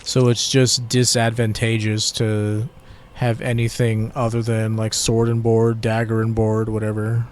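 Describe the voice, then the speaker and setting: A man's voice: fairly low pitch, completely flat delivery, a little slow, a little hiss or hum. A young adult man with a tired voice, giving laid-back, slangy gameplay commentary and swearing at mishaps. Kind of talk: casual let's-play commentary.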